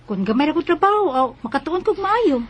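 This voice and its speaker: An actress playing an earnest, eager nineteen-year-old working student with a Cebuano accent in a radio drama. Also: female voice, high-pitched, sing-song, fairly quick. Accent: Cebuano Filipino. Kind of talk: Filipino radio drama acting and narration.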